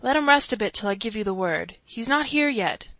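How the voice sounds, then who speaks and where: A woman voicing a fussy male court chancellor with a thin, squeaky voice and a pompous, flustered way of making speeches. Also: animated, brisk, a bit bright, dry recording. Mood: hushed.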